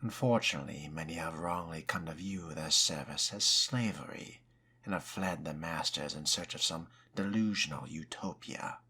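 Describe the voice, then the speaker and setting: A man voicing a wry, scholarly fledgling vampire in video game role-play, measured, theatrical and musing in delivery. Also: very deep voice, sharp tone, breathy edge, heavily acted. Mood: scholarly, composed. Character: cultured, wry male fledgling vampire, scholarly and self-possessed. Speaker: role-playing gamer. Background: music.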